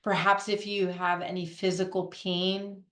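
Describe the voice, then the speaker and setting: A woman leading a guided meditation in a gentle, unhurried way, pausing between phrases. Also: on the low side, flat delivery, echoey room. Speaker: guided meditation leader, Reiki practitioner. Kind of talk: guided meditation, soothing instruction.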